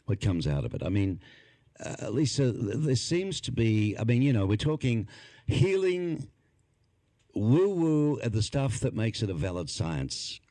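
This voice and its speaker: A man's voice: mid-range voice, sing-song, unhurried, mellow, no room sound. A man hosting a podcast interview, rambling in casual chat and putting questions to his guests. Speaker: podcast host.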